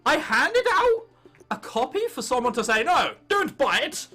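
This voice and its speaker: Young male gamer, English accent, animated swooping delivery, jokey and sweary, high voice, music behind. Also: measured pace. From England. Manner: jokey, sweary, self-interrupting. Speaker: gaming video host. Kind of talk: casual let's play commentary, reading game text aloud.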